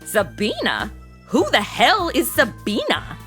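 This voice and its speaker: A woman plays a brash, jealous young American woman in an audio comedy. Her voice is sharp and very animated, full of sassy slang and sarcasm, with music underneath. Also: fairly high pitch, takes it slowly, full character voice. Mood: catty, indignant. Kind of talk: comedy audio drama acting.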